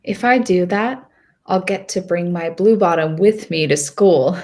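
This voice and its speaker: Woman reading as a slow-spoken, hopeful young girl. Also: lowish voice, darkish tone, lightly acted. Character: hopeful, nervous young girl narrating her dragon tale. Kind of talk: first-person storybook narration.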